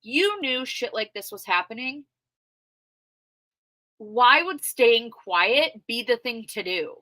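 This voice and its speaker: A woman giving casual, outspoken livestream commentary, loud-voiced and chatty, with plenty of fillers as she reacts to live chat comments. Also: fairly high pitch, expressive, slow pace, dry recording. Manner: conversational with frequent fillers. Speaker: true crime commentator reading live chat.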